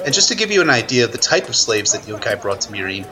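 A man's voice: mid-range voice, big pitch swings, quick pace, bright, forceful tone. A man playing an analytical war correspondent at an army camp, explaining matter-of-factly in a radio news play. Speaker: guest correspondent. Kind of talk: radio news report roleplay. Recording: outdoor crowd ambience behind voice.

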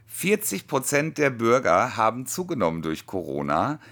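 Lively, joking man in his forties. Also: mid-range voice, moderate pace. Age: 40s. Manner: chatty, joking, animated storytelling. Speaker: podcast co-host. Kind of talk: casual chat.

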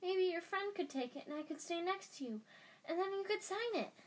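A woman voicing an excitable, starstruck young schoolboy wizard in a storybook, gushing breathlessly and eagerly. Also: very high voice, a little fast, pitched above the performer's own voice. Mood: excited, eager.

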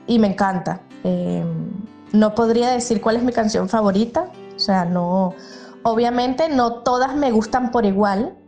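Gushing woman in her thirties. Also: medium pitch. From Venezuela. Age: 30s. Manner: warm, gushing fan talk with fillers. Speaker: listener sending a voice message. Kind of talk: recorded testimonial.

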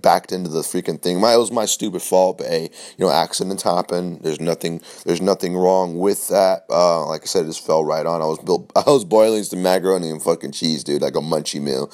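A young man with a very low voice hosts his podcast solo, chatting loosely and rambling. His throat is sore, so his voice comes out scratchy. He swears freely and keeps restarting his sentences with fillers. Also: a little mellow. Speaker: podcast host. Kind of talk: casual solo podcast chat.

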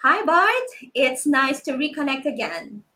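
A woman's voice: high-pitched, big pitch swings, measured pace, bright, forceful tone. A woman from the Philippines, hosting a live-streamed talk show with frequent ums and restarts. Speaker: host.

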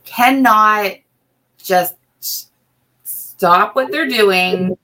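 A woman's voice: mid-range voice, extremely slow, bright, forceful tone. A middle-aged woman co-hosting a podcast, chatting in a rambling way with many restarts and ums. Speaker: co-host and assistant. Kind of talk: casual podcast chat.

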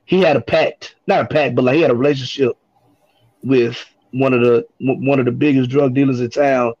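A man's voice: mid-range voice, darkish tone, dry recording. A man speaking as a guest on a podcast, hesitant and full of ums and repeated words. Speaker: guest hip hop artist. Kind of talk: casual podcast conversation. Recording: dull, dry lo-fi audio.

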